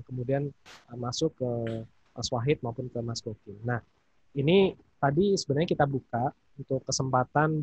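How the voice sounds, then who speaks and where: A man's voice: medium pitch, darkish tone, slightly rough, dull audio. A man moderating an online seminar, polite and hesitant, with many fillers.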